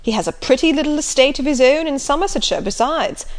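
A woman voicing a hearty, blustering middle-aged country squire who talks loudly and jovially. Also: high-pitched, animated, quick pace, pitched above the performer's own voice. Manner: loud, jovial, emphatic.

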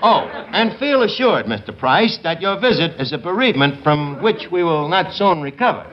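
An actor playing a cocky, uneducated tavern manager in a 1950s radio comedy, with a New York accent and pompous, long-winded mangling of big words. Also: male voice, a little high, big pitch swings, moderate pace, lo-fi sound, some chatter behind. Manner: long-winded, pompous, mock-genteel malapropisms. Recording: noisy old radio broadcast, loud studio audience.

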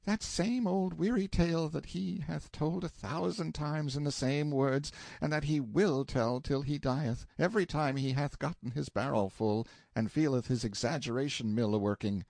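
A man's voice: fairly high pitch, moderate pace, creaky, hardly any pauses, darkish tone, dry recording, clean studio sound, voice pushed up. A man voicing a weary, mocking young page boy in an audiobook, sighing and complaining theatrically in archaic English. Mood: weary exasperation. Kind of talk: audiobook character voice.

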